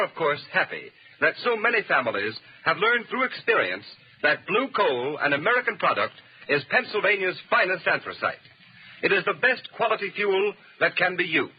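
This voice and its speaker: High, husky 1930s male radio announcer. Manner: formal, emphatic. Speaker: commercial announcer. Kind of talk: 1930s radio advertising read. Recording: muffled 1930s radio recording.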